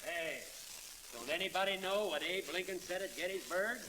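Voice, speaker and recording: A man's voice: sits high, moderate pace, natural voice. Actor as folksy barman, noisy old recording. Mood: baffled. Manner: folksy, calling out. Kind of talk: 1930s radio acting. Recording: noisy, crackly old radio broadcast.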